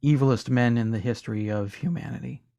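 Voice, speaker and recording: A man's voice: medium pitch, quick pace, vocal fry, dark tone. A man co-hosting a casual music podcast, joking around and reading out listener comments. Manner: jokey, reads listener comments aloud. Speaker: podcast co-host.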